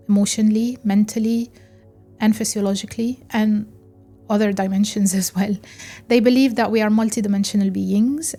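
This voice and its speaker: A woman from Egypt hosting a solo podcast, speaking in a calm, measured way with pauses and fillers. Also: medium pitch. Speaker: podcast host. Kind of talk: reflective solo podcast talk.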